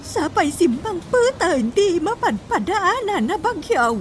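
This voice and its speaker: A woman's voice: extremely high pitch, very animated, unhurried, full character voice. A woman with an Ilocano accent playing a fretful, fussing older grandmother in a radio drama. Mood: fretful chiding. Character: fretful older grandmother, chiding her daughter. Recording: faint background noise.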